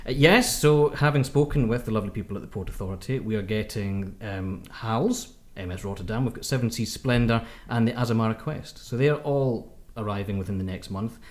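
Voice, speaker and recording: A man's voice: on the low side, a little fast, hardly any pauses. A man with a Scottish accent co-hosting a podcast, chatting in a jokey, enthusiastic way with plenty of playful exaggeration. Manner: jokey, enthusiastic, fond of hyperbole. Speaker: podcast co-host, cruise editor. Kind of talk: casual podcast chat.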